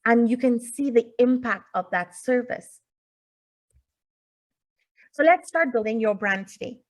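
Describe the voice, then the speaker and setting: A woman, a native English speaker, giving an informal online lecture as a teacher, explaining things with deliberate pauses and emphasis. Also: a little high. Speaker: webinar presenter, teacher. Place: indoors, online video call.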